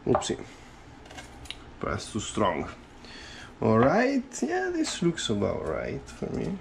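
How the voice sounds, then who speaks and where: Slow-talking man cooking in his kitchen. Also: mid-range voice, vocal fry, noisy background. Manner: relaxed, unhurried, talks while chopping and mixing. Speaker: cooking vlogger. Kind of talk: casual cooking commentary. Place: small home kitchen, indoors. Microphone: head-mounted camera, first-person view.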